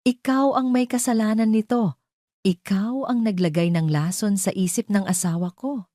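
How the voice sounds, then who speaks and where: A man's voice: high voice, normal speed, warm tone, dry recording, clean studio sound, voice pushed up, natural voice. A woman voicing an enraged, accusing husband in an audio drama. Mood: furious, accusing.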